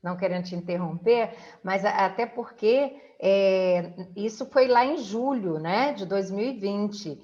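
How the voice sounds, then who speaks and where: A Brazilian woman recounting things as an interviewer in a conversational, deliberate way, with frequent checking tags. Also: medium pitch, a little mellow, highs cut off.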